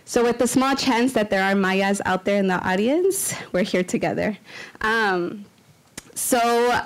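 A woman's voice: medium pitch, rough recording. Casual woman professor at a podium. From Los Angeles. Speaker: sociology professor speaker. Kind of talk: conference talk. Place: lecture room at a podium. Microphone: podium microphone.

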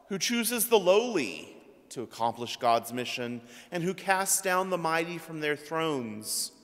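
Priest in his 30s, fairly high voice, slow deliberate sermon delivery, long church reverb. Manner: slow, measured preaching with trailing drops in pitch. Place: church sanctuary at a pulpit. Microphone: pulpit microphone.

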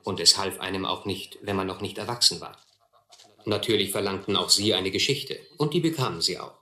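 Wry, lowish-voiced radio play narrator. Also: male voice, a little fast, pushed and bright, reverberant, full character voice. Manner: wry, reflective. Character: grown man looking back wryly on his vagabond youth.

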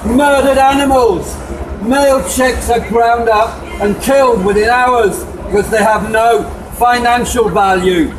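A man's voice: very high voice, fairly even intonation, a little slow. A man giving an animal rights protest speech and chanting slogans in an emphatic, accusatory way. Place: shop doorway at a street protest. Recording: distant, echoey, very noisy street recording.

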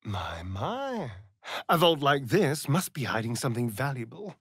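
A man's voice: medium pitch, very animated, measured pace, a bit bright, husky, no room sound, clean studio sound, exaggerated voice. A man playing a vain, sardonic, centuries-old vampire rogue in a video game, with an arch, theatrical delivery. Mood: wry, amused. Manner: arch, flamboyant, drawling, mocking. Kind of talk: video game character acting.

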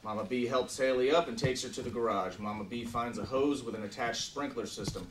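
A man's voice: medium pitch, fairly quick. Man in a roomy, distant meeting-room recording. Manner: informal, profane. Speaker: film writer-director leading a table read. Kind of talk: casual introduction, then reading script directions aloud. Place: meeting room with a conference table. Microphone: distant camera microphone. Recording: roomy, distant camera mic, background noise.

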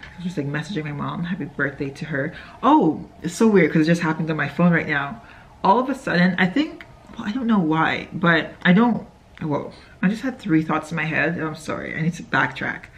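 A woman's voice: low-pitched, animated, brisk. Chatty young woman, rambling vlog. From Canada. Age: young adult. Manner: rambling, chatty, many fillers and self-corrections. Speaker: vlogger. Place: hotel room, indoors, sitting in bed. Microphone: phone camera held close.

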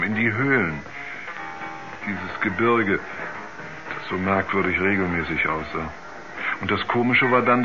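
A man acting a troubled astronaut in a 1970s radio drama, halting and repeating himself as he recalls terrifying dreams. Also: lowish voice, natural voice. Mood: uneasy, insistent. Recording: dull 1970s radio play recording.

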